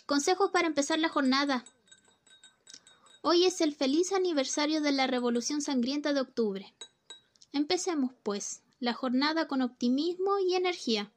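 A woman's voice: high voice, moderate pace, lightly acted. A woman in a student radio play acting a distracted, teasing housewife, with an exaggerated, sing-song delivery. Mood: chatty. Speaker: radio drama actor.

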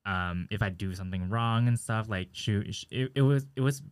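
A young adult from Taiwan who was later raised in the United States, chatting casually in a halting way and circling back over phrases. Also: medium pitch, clean tone, dry recording. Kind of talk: casual chat.

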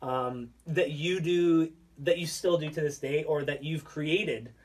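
A man's voice: on the high side, fairly even intonation, measured pace, a little mellow. A man co-hosting a casual podcast, talking in a hesitant way full of filler words. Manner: hesitant phrasing with many likes and ums. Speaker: podcast co-host. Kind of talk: casual chat. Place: podcast recording studio. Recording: slightly distant from the mic.

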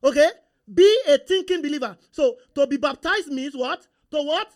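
A man's voice: very high voice, animated, normal speed. Nigerian preacher quizzing his congregation. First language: Yoruba. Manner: call-and-response, rhetorical questions to the congregation. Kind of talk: sermon.

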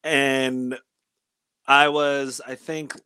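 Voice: medium pitch, flat delivery, bright, forceful tone, clear, resonant tone, no echo. Californian in their thirties, dry close sound. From California, United States. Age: 30s. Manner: halting, slangy, profane. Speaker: interview guest. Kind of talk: casual chat, personal recollection.

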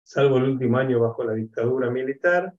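A man in his sixties from Argentina with an Argentine accent, speaking as an interview guest on a video call from his home office, in a measured, reflective way with many hesitations. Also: medium pitch, a little mellow, muffled recording. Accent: Argentine Spanish. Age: 60s. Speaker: guest, education expert. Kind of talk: interview conversation.

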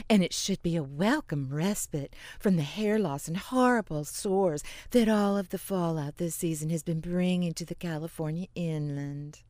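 A woman plays a washed-up radio news anchor with long-suffering deadpan. The voice is a little low and very unhurried, with a dry, close sound. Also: no echo. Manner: measured, deadpan. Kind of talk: mock radio news reading.

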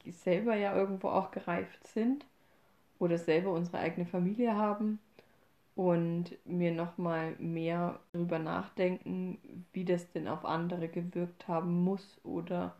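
Female podcast co-host, mid-twenties, from Upper Franconia, gravelly fry, casual delivery, roomy echo. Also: fairly low pitch, a little mellow, lo-fi sound. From Upper Franconia, Germany. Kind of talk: casual conversational podcast talk. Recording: roomy, echoey sound.

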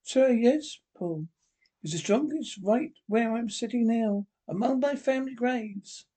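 A husky-voiced reader voicing a frightened young woman, speaking in an anxious hush. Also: mid-range voice, a little slow, voice pushed up, lightly acted. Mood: uneasy.

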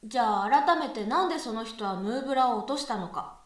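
A woman's voice: on the high side, expressive, brisk, close to an everyday voice. A woman playing a skeptical, exacting young female university student with dry retorts in a conversational radio drama. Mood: reasoning, dry. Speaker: voice actress in amateur radio drama. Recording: echoey room, far from mic.

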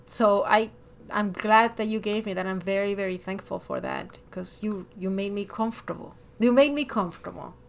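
A woman with a Latin American Spanish accent talking casually and expressively as a podcast host, with animated emphasis. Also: medium pitch, normal speed, vocal fry. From born in Miami, lived over a decade in Ecuador. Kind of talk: casual personal conversation.

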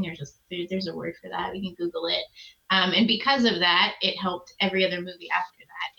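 A woman co-hosting a casual film podcast, chatty and candid, quick to joke. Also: mid-range voice, pushed and bright, lo-fi sound. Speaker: podcast co-host. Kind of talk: casual chat about old films.

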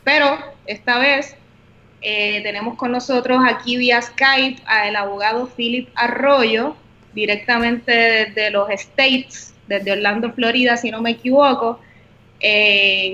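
A woman's voice: fairly high pitch, bright, forceful tone, lo-fi sound. A woman with a Puerto Rican accent hosting a casual interview podcast over a video call, friendly, with frequent "eh" fillers. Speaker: podcast host.

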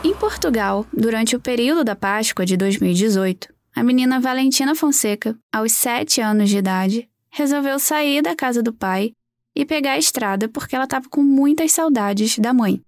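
A Brazilian woman hosts a true crime podcast in a fairly high-pitched voice. She narrates conversationally, as if telling a story to listeners. The sound is dry, with no room echo. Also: normal speed.

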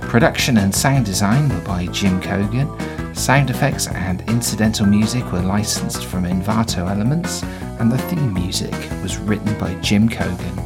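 A man's voice: lowish voice, moderate pace. A man hosting a podcast, reading a scripted outro and credits. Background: plucked guitar music.

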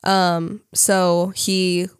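A woman's voice: on the low side, level tone, drawn-out words, clean tone, dry recording, clean studio sound. A woman co-hosting a casual podcast, congested from a cold, reading out facts with frequent fillers and some hesitation over names. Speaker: podcast co-host.

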